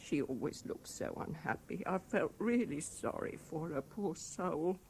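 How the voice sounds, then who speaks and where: Household servant, fairly high creaky voice, deferential, gossipy, sorrowful, radio drama, faint noise. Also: male voice, lively intonation, unhurried. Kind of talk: 1970s radio drama acting. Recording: faintly noisy old radio recording.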